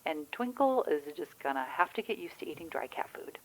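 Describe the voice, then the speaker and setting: A woman's voice: fairly low pitch, big pitch swings, fairly quick, poor audio. A woman playing a chatty, wry, anxious friend in a naturalistic audio drama, rambling candidly with the odd swear. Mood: resigned worry. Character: chatty, wry, anxious Michigan woman on the phone during zombie outbreak.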